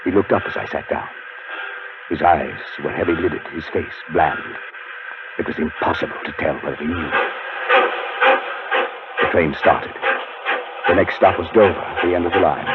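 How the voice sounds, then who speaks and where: An actor playing a haunted, desperate man who narrates his own ordeal in tense, deliberate 1940s radio style. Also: sits low, very noisy. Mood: tense dread. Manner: tense, dramatic, deliberate delivery. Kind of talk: 1940s radio acting, first-person suspense narration. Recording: muffled 1940s radio broadcast with loud music.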